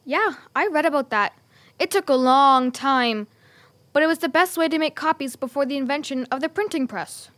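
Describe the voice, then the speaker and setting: Young girl adventurer, audio drama: high-pitched, earnest, eager to explain. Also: normal speed, fairly bright tone. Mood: curious, explanatory. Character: bright, helpful, bookish young girl adventurer.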